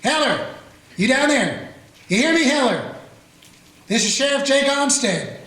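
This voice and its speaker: A man playing a small-town Old West sheriff in a radio play, also narrating in a plain, calm folksy way. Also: very high-pitched, a little slow, heavily acted. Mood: steady. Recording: echoey room.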